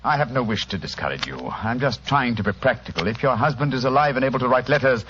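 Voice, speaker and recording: An actor playing a shrewd, courteous gentleman detective with a British accent, precise and analytical, in a vintage radio drama. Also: mid-range voice, animated, fast, natural voice. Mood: gently probing. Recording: noisy, muffled old radio recording.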